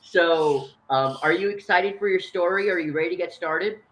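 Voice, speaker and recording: A man's voice: high-pitched, moderate pace, a bit bright, slight background noise. Male host, hesitant with lots of fillers, roomy echo on the voice. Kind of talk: event introduction. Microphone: video call.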